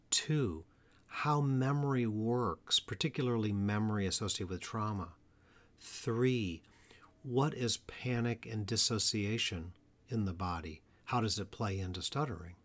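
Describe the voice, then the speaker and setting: A man with a lowish voice talks alone into the mic for his podcast about stuttering. He is earnest and measured, and he slows right down to lean on the ideas he wants to stress. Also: fairly bright tone. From Atlanta area, US. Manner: earnest, measured delivery with slow emphatic phrases. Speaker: podcast host, stuttering therapist. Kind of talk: solo educational podcast talk.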